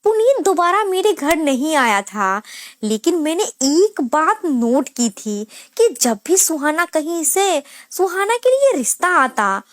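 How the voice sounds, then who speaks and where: A woman's voice: high-pitched, very animated, takes it slowly, hardly any pauses, no echo. A woman reading a scripted emotional family story in the first person, as a dramatic storyteller. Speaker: story narrator.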